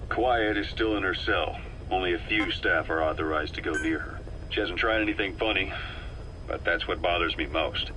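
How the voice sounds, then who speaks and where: A calculating veteran mercenary officer from a video game, very low and bright-edged in voice. He briefs with measured, wry persuasion, over a faintly noisy tape recording. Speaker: military intelligence officer.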